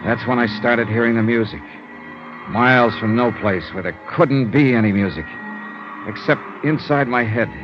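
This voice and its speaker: An actor playing a hard-boiled investigator who tells his own ordeal in a deliberate, intense 1950s radio drama style. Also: medium pitch, natural voice. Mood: grim, dramatic. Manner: deliberate, intense, theatrical storytelling. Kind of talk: 1950s radio drama acting, dramatic first-person narration. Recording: dull vintage radio recording with prominent music.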